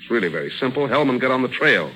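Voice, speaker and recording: An actor playing a menacing, boastful gangster killer in 1940s radio crime drama style. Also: male voice, mid-range voice, very animated, racing, sharp tone, rough recording. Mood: gloating. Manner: taunting, boastful. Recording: vintage 1940s radio broadcast.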